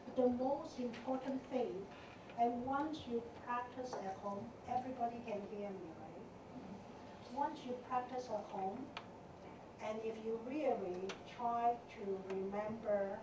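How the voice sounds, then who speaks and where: A woman teaching a meditation class, speaking in a gentle way with simple phrasing and many fillers. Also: medium pitch. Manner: slow, gentle, non-native phrasing with fillers. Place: temple meditation hall. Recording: echoey hall, distant mic, noisy.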